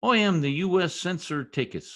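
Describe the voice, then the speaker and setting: Radio comedy lead, male actor: blustering middle-aged American husband, folksy and slangy, very lively intonation. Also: high-pitched, moderate pace, very noisy. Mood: self-important. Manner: slangy, pompous, mangles words. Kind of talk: old-time radio comedy acting.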